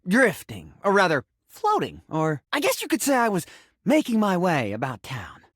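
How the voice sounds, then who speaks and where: A man's voice: high-pitched, moderate pace, a little hiss or hum, exaggerated voice. A man voicing a flustered, melodramatic cursed man trapped as a talking sink in a video game, with a theatrical, rambling way of talking. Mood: rambling storytelling. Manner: theatrical, rambling, deadpan absurd storytelling. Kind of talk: video game character voice acting.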